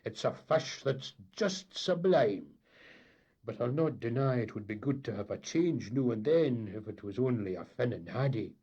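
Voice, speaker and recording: A mild old skipper who frets politely and smooths things over, as a man reads him. His voice is husky and a touch raised. The sound is dull and lo-fi. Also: mid-range voice, expressive, normal speed, pitched above the performer's own voice. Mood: soothing. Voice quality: mild, gently fussy. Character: mild elderly Scottish boat captain. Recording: dull lo-fi recording.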